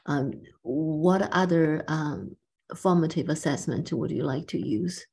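Low, husky woman speaking haltingly. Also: darkish tone. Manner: halting, searching for words. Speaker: teacher, webinar participant. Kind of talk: hesitant question in discussion. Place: indoors, on a video call.

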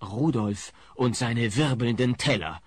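An actor playing a wry storyteller, narrating a scripted radio play in a husky voice. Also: male voice, medium pitch, takes it slowly, noisy background, lightly acted.

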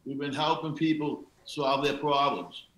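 A man's voice: a little high, fairly even intonation, unhurried, a bit bright. A middle-aged man on an interview over a video call, explaining his recovery work in a matter-of-fact way. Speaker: guest, recovery coach. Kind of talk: casual interview talk. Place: room in a house. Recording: echoey room on video call.

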